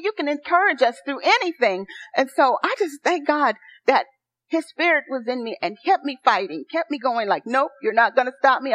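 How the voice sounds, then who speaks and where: A soft-spoken woman pastor preaching a sermon, earnest and rising to excited encouragement. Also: sits high, no echo. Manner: earnest, building to excited exhortation. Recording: dry, dull lo-fi sound.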